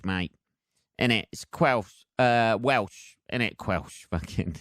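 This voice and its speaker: A British man hosts a solo comedy podcast in a clear, smooth voice, recorded dry and close. He rambles casually and sardonically, swearing freely as he rants. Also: lowish voice, a bit bright, dry recording. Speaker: podcast host.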